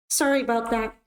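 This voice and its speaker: A young woman streamer chatting casually while gaming, her voice a little raspy and worn out. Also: on the high side, roomy sound. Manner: chatty, rambling, upbeat sign-off. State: slightly raspy, tired voice. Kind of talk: casual gameplay stream chat.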